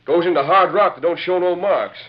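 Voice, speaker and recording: A man playing an excitable, folksy western deputy with frontier grammar in a 1940s radio drama. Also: high voice, brisk, natural voice. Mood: wary. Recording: muffled old radio recording.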